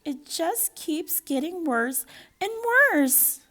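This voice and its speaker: A woman's voice: high-pitched, slow pace, fairly bright tone, voice pushed up. A woman voicing a distraught, fretful mother in a storybook.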